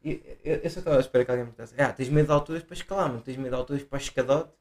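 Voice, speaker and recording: Young man, central Portuguese accent, casual podcast chat, middle-pitched, acts out what people said. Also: very fast, darkish tone. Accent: central Portuguese, Viseu. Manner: loose storytelling, acts out quoted people, swears freely. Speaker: podcast co-host.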